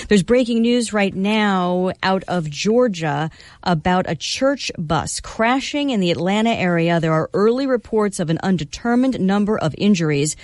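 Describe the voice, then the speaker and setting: Female news anchor, measured hourly newscast read, dry studio. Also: on the low side, a little mellow, no echo. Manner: crisp, measured.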